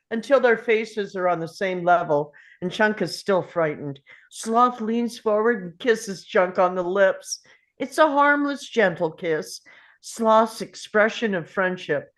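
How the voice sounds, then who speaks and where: A woman narrates a screenplay at a table read. Her pitch sits in the middle and she takes the action lines slowly. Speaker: stage-direction reader.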